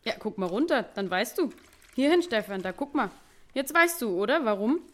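A woman care worker with a fairly high, very animated voice. She chats casually and briskly as she works, coaxing and teasing the person in her care. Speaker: care worker in a nursing home. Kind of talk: casual talk while caring for a resident. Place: indoors in a nursing home, recorded on location.

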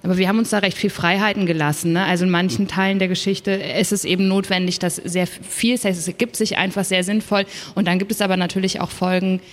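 A woman's voice: lowish voice, fairly even intonation, quick pace, hardly any pauses. A woman author talking conversationally and thoughtfully in a panel discussion, often adding little tag questions. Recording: reverberant hall at book fair.

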